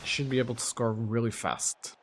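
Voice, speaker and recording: A man streaming a video game, chatting casually to viewers in a relaxed, rambling way full of fillers. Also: mid-range voice, moderate pace, slight background noise. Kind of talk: casual gameplay commentary.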